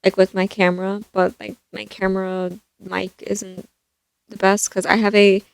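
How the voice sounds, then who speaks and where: A young woman with a soft voice chatting casually about a film, rambling with lots of fillers and restarts. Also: medium pitch, little pitch movement, normal speed, gravelly, clear, resonant tone, no room sound. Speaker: vlogger reviewing a film. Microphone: stand mic.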